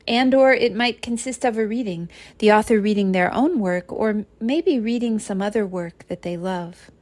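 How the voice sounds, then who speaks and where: A woman giving a prepared, measured spoken answer as a contributor to an academic program. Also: medium pitch, darkish tone, no echo. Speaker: contributor answering a question on African studies.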